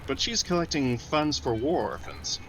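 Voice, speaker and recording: An actor playing a grim government intelligence officer, stern and deliberate, in old-time radio drama style. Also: male voice, medium pitch, very animated, over music, rough recording. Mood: businesslike.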